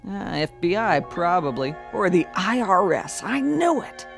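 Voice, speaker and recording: Harsh scolding aunt, animated delivery. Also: female voice, on the low side, measured pace, faint music behind, exaggerated voice. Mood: wary, grudging. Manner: snappish, scornful, rough uneducated speech. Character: harsh, bitter, scolding working-class aunt and guardian. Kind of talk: radio drama acting.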